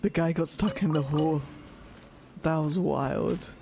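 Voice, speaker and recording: A man's voice: fairly high pitch, a little slow, gravelly, mellow. A man chatting casually as he streams a game, offhand and half muttering to himself. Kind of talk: casual live gameplay chat. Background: game music.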